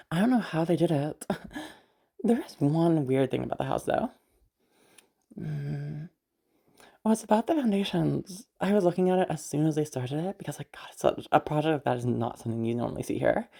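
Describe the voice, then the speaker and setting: A woman's voice: low voice, vocal fry, mellow, full character voice. A woman playing a chatty, friendly, easily flustered escort in a roleplay audio drama, rambling informally and swearing casually. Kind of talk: roleplay audio drama, casual improvised-sounding monologue to the listener.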